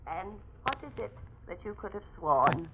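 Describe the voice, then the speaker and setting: Heavy noise and dull sound frame an eerie, coolly courteous lady. Her speech is formal and measured, in the manner of a 1940s radio mystery. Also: medium pitch, very animated. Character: coolly polite, eerie married woman roused from sleep. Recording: muffled, very noisy 1940s radio recording.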